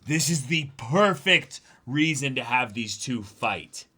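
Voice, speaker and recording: Bright-voiced man co-hosting a stream. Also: medium pitch, animated, takes it slowly. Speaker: co-host of a manga reading stream. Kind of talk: casual live stream chat and reading aloud.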